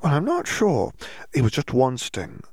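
A man's voice: medium pitch, wildly swooping pitch, measured pace, darkish tone, breathy edge, no echo, studio quality, voice pushed up, full character voice. A man voicing a small, stubborn girl who rambles in a dreamy, giddy, insistent way in a storybook reading. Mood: woozy insistence.

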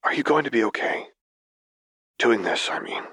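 A man voicing a gentle, caring young woman, soft-spoken and tender, in an audio story. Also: medium pitch, lively intonation, moderate pace, breathy edge, no echo, studio quality, pitched above the performer's own voice. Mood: quietly worried.

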